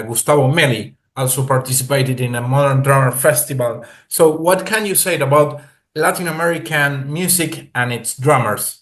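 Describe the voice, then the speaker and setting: Casting: male interviewer, mid pitch, halting questions full of "uh". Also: fairly bright tone. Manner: hesitant, fillers while asking. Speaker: interviewer, host.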